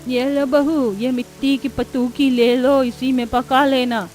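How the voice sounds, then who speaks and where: A woman's voice: high-pitched, little pitch movement, takes it slowly, darkish tone. A woman voicing an elderly village grandmother in a cartoon story. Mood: kindly. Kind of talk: Hindi cartoon story dubbing.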